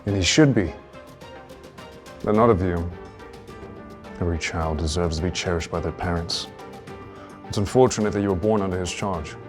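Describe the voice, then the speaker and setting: A man plays a proud, honourable duke from a period romance. His voice is deep and his delivery formal and measured, with quiet command. Music plays clearly behind him. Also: natural voice. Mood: sympathetic. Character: proud, honourable male duke, guarded but chivalrous. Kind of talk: period romance audio drama acting.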